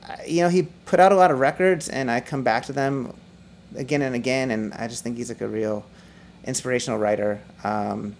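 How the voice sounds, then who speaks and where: Middle-aged man, high whiny voice, gravelly, rambling casual talk, faint noise behind. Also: a little fast. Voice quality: self-described high, whiny voice. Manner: rambling, many restarts and fillers, laughs often. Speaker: musician guest. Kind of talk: casual podcast conversation.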